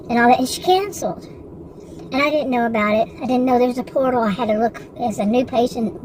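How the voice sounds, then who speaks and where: A woman's voice: fairly high pitch, noisy background. Frustrated woman in her sixties, rambling. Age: 60s. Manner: rambling, frustrated, with many restarts and asides. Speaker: vlogger. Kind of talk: casual monologue to camera.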